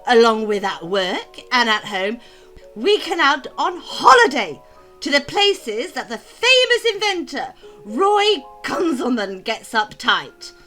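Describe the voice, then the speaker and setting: A woman acts a sarcastic, tipsy socialite wife in an audio drama. Her voice is bright and fairly high, drawn out with theatrical, swooping mockery, over faint music. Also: sing-song, takes it slowly, exaggerated voice. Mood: bitter, sarcastic. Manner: mocking, drawling, theatrical. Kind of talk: amateur audio drama acting.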